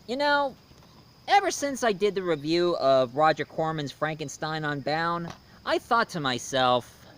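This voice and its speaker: A man hosting a casual, unscripted review, talking in a halting, rambling storyteller's way. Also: on the high side, a bit bright, clear, resonant tone. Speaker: host, film and book reviewer. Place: outdoors. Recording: noisy outdoor recording with insects.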